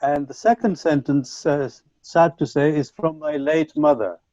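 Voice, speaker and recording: A man whose first language is Punjabi, giving an online lecture to teachers in a measured, reflective way with frequent restarts, heard over a video call. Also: a little high, steady tone, warm tone, no echo. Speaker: conference speaker. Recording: dull lo-fi video call audio.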